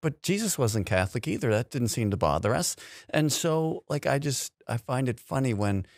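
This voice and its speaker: A man's voice: mid-range voice, lively intonation, moderate pace, no room sound, clean studio sound. A man in his fifties hosting a podcast in a casual conversational style, acting out imagined quotes. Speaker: podcast host. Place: small indoor podcast studio. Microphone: close studio mic on stand.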